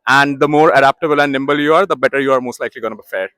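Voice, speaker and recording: A man's voice: medium pitch, racing, sharp tone, dry recording. A man from India giving a brief, composed statement as an MBA classmate.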